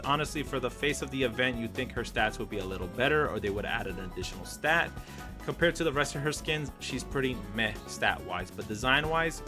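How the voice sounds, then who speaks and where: A man giving casual, candid game review commentary, conversational and opinionated as he goes. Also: medium pitch. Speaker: video game YouTuber reviewing skins.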